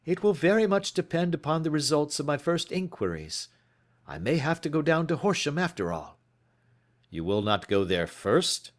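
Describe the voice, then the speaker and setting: A man voicing a keen, proud, intense gentleman detective in an audiobook, making emphatic, deliberate declarations. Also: fairly high pitch, big pitch swings, voice pushed up. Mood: brisk, purposeful. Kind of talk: audiobook character voice.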